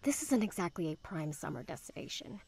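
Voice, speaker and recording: A woman playing a blunt, sarcastic teenage girl in a visual novel game, with a deadpan, sardonic delivery. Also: low-pitched, brisk, creaky, husky, exaggerated voice. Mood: blunt, dismissive.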